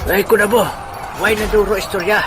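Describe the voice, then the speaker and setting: A man's voice: high-pitched, fairly even intonation, a little fast, lightly acted. A man playing a boastful, taunting leader of a warring tribe in a comic audio drama. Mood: mocking. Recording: loud music behind.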